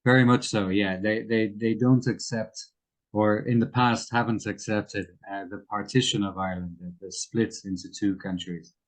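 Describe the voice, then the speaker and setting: Low-pitched man, measured and careful. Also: fairly even intonation. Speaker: interview guest. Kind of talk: phone interview, explaining current affairs.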